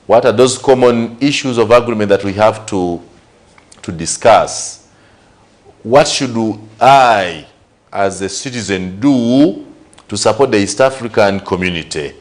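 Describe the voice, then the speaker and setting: A man from Uganda with a Ugandan English accent, giving conversational commentary on current affairs and asking rhetorical questions. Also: lowish voice. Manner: conversational, repeats and lists points, rhetorical questions. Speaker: news show host.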